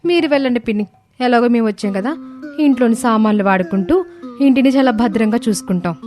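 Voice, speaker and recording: Lady doing a pushy cheapskate young daughter in law for a cartoon story, high voice, wheedly and overdone. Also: fast, darkish tone, faint music behind, lightly acted. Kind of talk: animated moral story dialogue.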